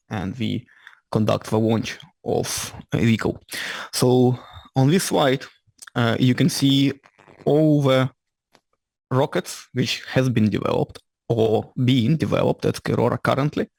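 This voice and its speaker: A man with a Ukrainian-Scottish accent giving a lecture as an invited engineer. He speaks in halting, slightly ungrammatical English and often restarts his sentences. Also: medium pitch, vocal fry, darkish tone, no echo. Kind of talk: lecture presentation. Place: lecture room with audience.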